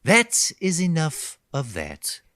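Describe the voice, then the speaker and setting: A menacing, pompous male kidnapper from a horror radio play acted by a man. He preaches with genteel condescension, taking his time, his pitch rising and falling widely in a very dry recording. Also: wildly swooping pitch, takes it slowly, sharp tone, dry recording, exaggerated voice. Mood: stern. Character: menacing male kidnapper, pompous, patronising, fixated on obedient wives. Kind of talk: horror radio drama acting.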